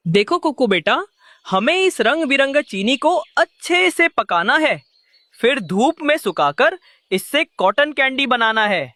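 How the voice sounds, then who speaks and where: A woman as a high-voiced patient uncle pigeon. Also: very animated, unhurried, a bit bright, no room sound, exaggerated voice. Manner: instructive, patient. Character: kindly uncle pigeon teaching a youngster. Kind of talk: children's cartoon dialogue.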